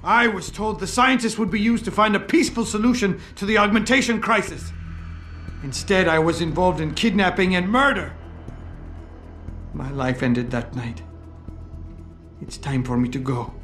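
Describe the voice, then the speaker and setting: An anguished, high-voiced disgraced surgeon. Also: male voice, moderate pace, pauses often, fairly bright tone, faint music behind. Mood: despairing. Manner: formal, anguished. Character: guilt-ridden, betrayed male surgeon, bitter and despairing. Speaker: former surgeon. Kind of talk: video game cutscene acting.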